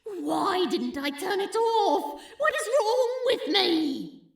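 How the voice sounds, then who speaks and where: A woman's voice: very high-pitched, very animated, vocal fry, dry recording, voice pushed up, heavily acted. A woman voicing an awkward, lovestruck, self-deprecating man with a hesitant manner in a story reading. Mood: despairing. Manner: hesitant, halting.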